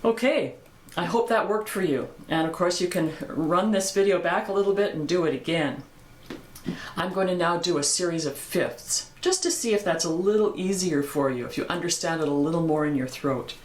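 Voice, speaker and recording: Calm older woman teaching singing. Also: normal speed, roomy sound, slight background noise. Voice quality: low voice. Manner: calm, encouraging, instructive. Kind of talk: vocal lesson with sung exercises.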